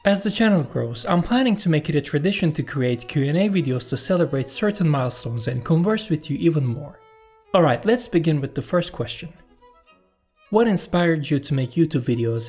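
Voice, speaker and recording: Turkish guy, turkish his first language, doing a scripted q&a voiceover, a bit husky and higher-pitched, soft violin music under it. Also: lively intonation, fairly quick. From Turkey. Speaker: YouTube channel host. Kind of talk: scripted Q&A voice-over.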